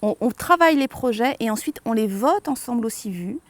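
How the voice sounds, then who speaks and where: A woman's voice: a little high, expressive, fairly quick. A woman local official explaining her view in an interview. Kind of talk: interview answer.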